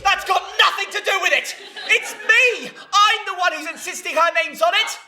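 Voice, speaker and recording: A man's voice: very high voice, expressive, very fast, noisy background. A man voicing a combative, demanding bride-to-be arguing with her fiancé in a stage monologue. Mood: furious, insistent. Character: insistent, confrontational young bride-to-be quarrelling with fiancé.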